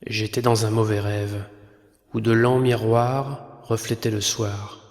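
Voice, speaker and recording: Slow, low-voiced man reciting poetry. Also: flat delivery. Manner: solemn, measured, pausing between phrases. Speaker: poet narrator. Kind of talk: poetry recitation over a film. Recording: long reverb, clear background noise.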